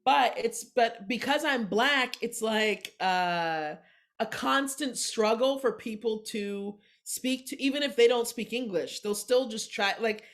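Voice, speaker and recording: A woman's voice: fairly high pitch, measured pace. A woman of forty, a comedian guest, telling stories in a casual podcast chat and acting out the voices of people she quotes. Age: 40s. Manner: animated storytelling, acts out quoted voices.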